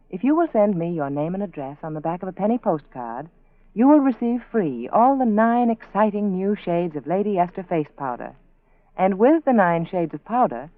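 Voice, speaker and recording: Animated woman pitching on muffled old radio. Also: no room sound. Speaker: advertising spokeswoman. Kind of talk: scripted 1940s radio advertisement. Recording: dry, muffled narrow-band vintage radio.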